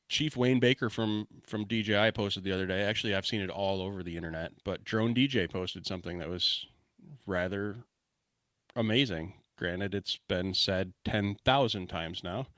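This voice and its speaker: A man's voice: fairly low pitch, moderate pace, fairly bright tone, no room sound. A man co-hosting a podcast from his office, talking in brash, swearing, joking banter. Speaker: podcast co-host. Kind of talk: casual podcast chat. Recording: dry close mic in a home office.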